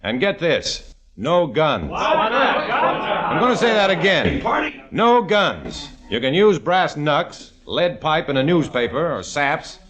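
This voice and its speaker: A man's voice: on the high side, wildly swooping pitch, slow pace, dull audio, a crowd in the background, exaggerated voice. An actor playing a tough undercover agent who narrates his own story in a 1950s radio drama, with terse, hard-boiled delivery. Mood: commanding. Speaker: narrator and lead.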